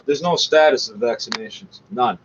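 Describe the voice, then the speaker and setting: Combative, scornful man with a highish voice. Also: very animated, normal speed, creaky. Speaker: commentator reacting to a radio show. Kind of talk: off-the-cuff rant over a played broadcast. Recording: muffled, faintly noisy lo-fi recording.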